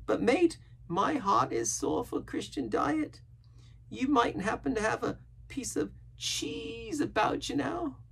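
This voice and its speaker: Crazy old marooned sailor from a storybook, super high cracked voice, loud, sly n excitable. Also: male voice, slow pace, vocal fry, breathy edge, full character voice. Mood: wistful. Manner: rustic pirate grammar, excitable. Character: half-crazed marooned old sailor, pleading, sly and pious.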